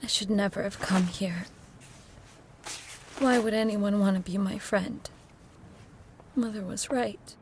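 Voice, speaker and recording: An actress playing a frightened, guilt-ridden lonely woman, tremulous and halting, in a horror audio drama. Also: medium pitch, fairly even intonation, darkish tone, slight background noise. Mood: whispered self-doubt.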